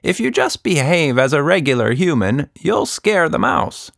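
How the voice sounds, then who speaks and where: A man's voice: fairly high pitch, big pitch swings, normal speed, gravelly, a bit bright, no room sound, studio quality, voice pushed up, heavily acted. A man voicing a helpful monkey companion in a children's story, speaking in a formal, pedantic and slightly hesitant way. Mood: earnest advice.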